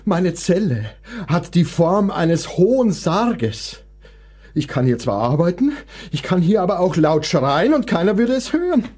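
A man's voice: high-pitched, sing-song, unhurried, a little mellow, husky, exaggerated voice. An actor in a radio play voicing a sickly male composer who complains with bitter, theatrical sarcasm. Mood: anxious, gloomy.

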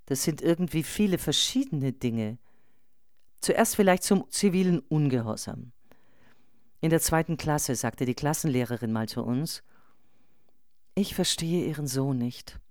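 A woman acts out a thoughtful, nonconformist mother in a radio play collage. The voice is very low and dark, with a measured, reflective delivery in a very dry, close sound. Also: lively intonation, dry recording. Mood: recounting. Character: thoughtful, nonconformist mother answering online posts. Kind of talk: scripted radio collage reading of online posts.

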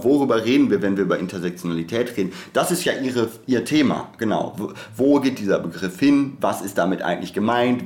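A man co-hosting a podcast in an informal, halting way, often interrupting himself. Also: lowish voice. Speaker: podcast co-host.

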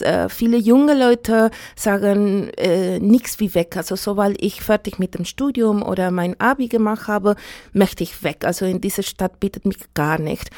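Mellow-voiced Chilean-accented woman, hesitant. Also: mid-range voice, no echo, studio quality. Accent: Chilean Spanish. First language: Spanish. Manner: thoughtful, hesitant, many fillers. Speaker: interviewee. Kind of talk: casual radio interview.

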